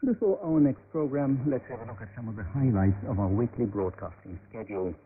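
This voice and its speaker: A man's voice: medium pitch, brisk, faint music behind. A man working as a radio continuity announcer, reading scripted programme promos over a shortwave broadcast. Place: radio studio. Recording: narrow-band shortwave radio transmission.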